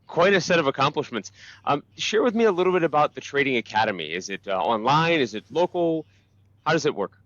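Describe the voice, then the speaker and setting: A man's voice: on the high side, big pitch swings, fast, a bit bright, no room sound, muffled recording. A man hosting a live show, asking questions in a friendly, upbeat way. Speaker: host. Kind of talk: live interview.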